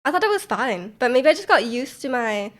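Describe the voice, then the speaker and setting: A young woman talks to her live stream in a loose, rambling way, leaning on filler words. Her voice sounds stuffy and congested from a cold, and sits a little on the high side. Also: big pitch swings, brisk. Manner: rambling, many "like" fillers, soft laughs. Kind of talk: casual chat to live chat.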